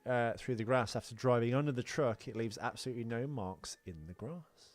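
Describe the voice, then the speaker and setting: A man's voice: medium pitch, a little fast, no echo. A man hosting a podcast, giving casual film commentary with a dry, joking manner. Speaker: podcast host. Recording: music playing behind the voice. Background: music.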